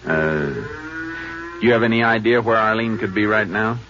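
An actor playing a calm, clipped Texas lawman who questions witnesses and narrates in a 1950s radio drama. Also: lowish voice, a little slow, noisy background, close to an everyday voice. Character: terse, steady lawman in his prime. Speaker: Texas Ranger, also narrator. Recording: dull lo-fi old radio broadcast.

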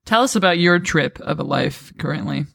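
A woman with a low voice co-hosting a podcast, chatting casually and playfully, with quick asides. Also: lively intonation, creaky, a bit bright, breathy edge, no echo, studio quality. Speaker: podcast co-host. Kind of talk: casual chat.

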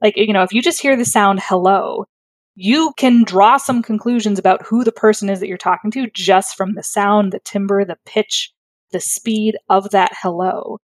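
A woman's voice: mid-range voice, moderate pace, no room sound. A woman speech therapist explaining her work in a thoughtful, articulate way, with fillers and self-corrections. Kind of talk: conversational explanation.

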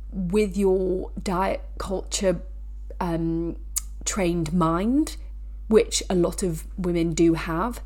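A woman's voice: on the low side, a little mellow. A woman hosting a fitness podcast, speaking casually with a stuffy nose from a cold, chatty and prone to restarting her sentences. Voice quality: nasal. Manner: chatty, frequent restarts and asides. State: blocked sinuses, nasal from a cold. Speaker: podcast host. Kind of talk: casual educational podcast talk.

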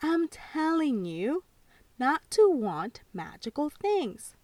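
A sensible, slightly bossy young girl lays down the rules in a high, lively voice, as a woman plays her. She speaks slowly and matter-of-factly in a storybook tale. The recording is dry and a little distant. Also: no echo, heavily acted. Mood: insistent.

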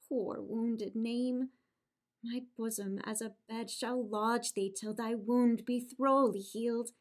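A woman performing a lovesick, impulsive young woman in Shakespearean verse, with dramatic, drawn-out delivery. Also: on the high side, steady tone, darkish tone. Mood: tender, lovesick. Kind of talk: theatrical Shakespearean verse acting.

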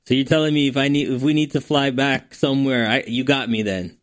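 A man hosting a podcast, enthusiastic and excitable, often repeating words as he hypes his guest. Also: mid-range voice, fairly quick, dry recording, studio quality. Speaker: podcast host. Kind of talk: casual interview hosting.

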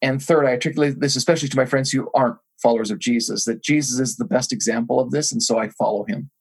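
Earnest husky-voiced male pastor. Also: medium pitch, fast. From grew up in Thailand. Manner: earnest, reflective, with some restarts. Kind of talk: interview guest answering.

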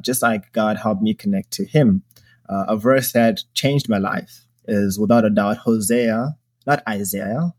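Guy from zimbabwe on a podcast, kinda low voice, earnest casual chat about himself. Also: darkish tone. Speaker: podcast host. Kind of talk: personal testimony in casual podcast talk.

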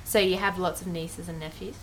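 A woman's voice: on the low side, steady tone, slight background noise, low-quality recording. A woman hosting a podcast interview, reacting eagerly with frequent exclamations of surprise. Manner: enthusiastic, quick reactions, many wows. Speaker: podcast host. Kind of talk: casual interview.

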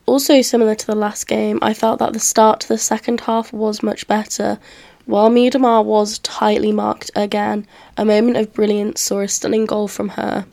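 A woman's voice: medium pitch, level tone, moderate pace, gravelly, mellow. Podcast host, female. Blocked-nose sound from a cold, medium register, level delivery, semi-scripted football recap. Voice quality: nasal. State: congested with a cold.

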